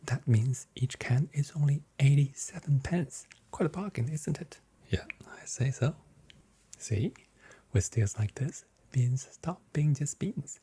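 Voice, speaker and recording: A very soft, whispering voice in an ASMR role play as a grocery shop assistant, giving gently playful sales patter. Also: mid-range voice, a little slow, husky.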